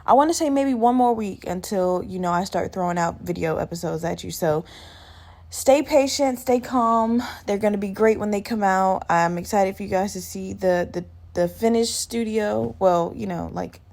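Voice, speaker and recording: A woman hosts her solo podcast with a sleepy, just-out-of-bed growl and plenty of vocal fry. Her talk is loose and rambling, candid and self-deprecating, with casual swearing. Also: medium pitch, normal speed, a little mellow. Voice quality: slightly gravelly morning voice. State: just woke up. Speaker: podcast host.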